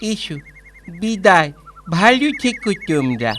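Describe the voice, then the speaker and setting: A man playing a kind, generous village drummer in a children's cartoon fairy tale, with a gentle, well-wishing manner. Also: high-pitched, big pitch swings, slow pace, slight background noise, full character voice. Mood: kindly.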